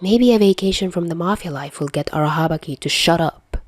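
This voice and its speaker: A woman's voice: lowish voice, brisk, dry recording, clean studio sound, natural voice. A woman voicing a casual, easygoing young man who talks nonchalantly in a story reading. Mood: laid-back, frank.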